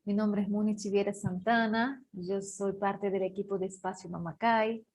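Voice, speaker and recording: A woman's voice: mid-range voice, fairly even intonation, darkish tone, slight background noise. Woman. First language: Portuguese. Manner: halting, self-correcting over names and words. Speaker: host. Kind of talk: online talk introduction.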